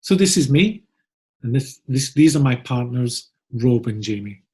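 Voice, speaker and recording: A man's voice: mid-range voice, lively intonation, measured pace, a little mellow, slightly rough. A middle-aged Scottish man giving a friendly, upbeat pre-recorded conference talk about his agency. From Scotland. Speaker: agency co-founder presenting.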